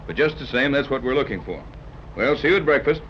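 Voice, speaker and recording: A muffled vintage radio recording with faint noise carries an actor as a male sea captain. He talks fast and clipped, dry and skeptical, with easy command, in 1930s adventure-serial style. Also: medium pitch, expressive, close to an everyday voice. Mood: brisk, businesslike.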